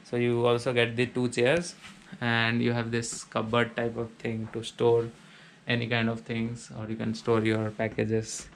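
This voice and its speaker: A man's voice: mid-range voice, completely flat delivery, unhurried, vocal fry, slight background noise. A man casually narrating a walkthrough of a small student apartment, listing its features in a plain, matter-of-fact way. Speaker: vlogger giving a house tour.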